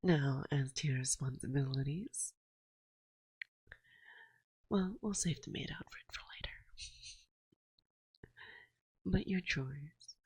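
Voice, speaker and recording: A woman playing a dominant, teasing and affectionate forest witch in an ASMR roleplay, speaking in a hushed, breathy voice that often drops to a whisper, close to the microphone. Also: low-pitched, dry recording, full character voice. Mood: calmly commanding. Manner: slow, soothing, teasing, gently dominant. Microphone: close binaural microphone.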